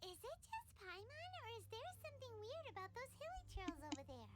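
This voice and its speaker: A woman voicing a tiny, excitable, earnest fairy companion in an anime video game, childlike and exclamatory. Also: very high voice, a little fast, exaggerated voice. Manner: childlike, exclamatory, refers to self in third person.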